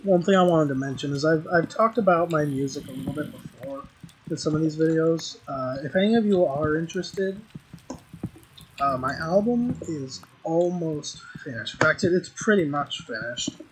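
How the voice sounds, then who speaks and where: A man's voice: fairly high pitch, animated, normal speed. A young man giving casual, rambling commentary while playing a game, with frequent ums and restarts. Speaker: gaming video creator. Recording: noisy home recording with mouse clicks.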